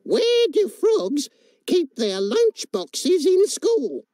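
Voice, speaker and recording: An excitable, cheeky female kookaburra from a children's storybook, played by a woman. The voice is high and showy, leaping around in pitch as it delivers each phrase at an unhurried pace. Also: soft background music, exaggerated voice. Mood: cheeky, excited.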